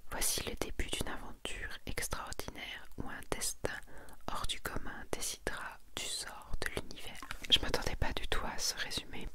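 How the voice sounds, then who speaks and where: A woman speaking in a soft, breathy ASMR whisper, hushed and intimate, presenting and reading aloud in a casual way. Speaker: ASMR creator presenting a manga collection.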